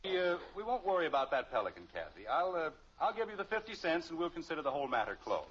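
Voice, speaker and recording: Flustered American suburban dad, lightish voice, sarcastic sputter, muffled old radio sound. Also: male voice, on the high side, very animated, quick pace. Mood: sheepish. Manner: sputtering, sarcastic, hesitant when cornered. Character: exasperated suburban father, easily flustered, fond of his children. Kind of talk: 1950s radio sitcom acting.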